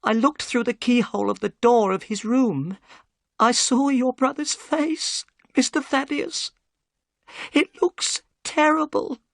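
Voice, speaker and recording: A narrator voicing a frightened old housekeeper woman who pleads in a high, shaky, tearful voice. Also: steady tone, slow pace, gravelly, dry recording, clean studio sound.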